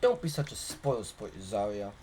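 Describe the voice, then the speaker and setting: A man's voice: on the low side, very animated, moderate pace, darkish tone, slight background noise. A man playing a playful, nosy young man in a fantasy audio drama. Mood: teasing. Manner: teasing.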